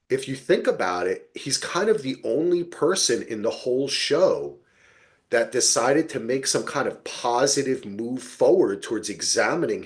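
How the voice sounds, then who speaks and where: A man hosting a podcast, chatting casually and emphatically about television, acting out quoted asides as he goes. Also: medium pitch. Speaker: podcast host. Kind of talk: casual TV recap discussion.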